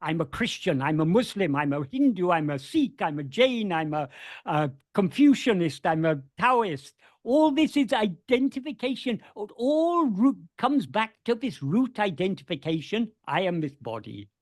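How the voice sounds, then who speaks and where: Man, high lively voice, dry close sound, earnest, repeats words, video call. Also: moderate pace, no echo. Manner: earnest, repeats words while searching for phrasing. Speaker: spiritual teacher answering questions. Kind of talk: reflective spoken teaching over video call.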